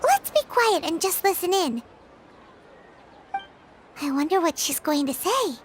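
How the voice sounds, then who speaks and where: A woman's voice: extremely high pitch, very animated, unhurried, background noise, exaggerated voice. A woman voicing a tiny, childlike, curious fairy companion in a video game. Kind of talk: scripted video game dialogue. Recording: loud music behind.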